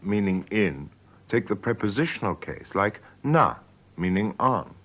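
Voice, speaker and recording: Male co-presenter for a 1950s language broadcast, deliberate, varied melody, dull old recording. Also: on the low side, very animated. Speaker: learner and co-presenter. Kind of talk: scripted radio language lesson, 1950s broadcast.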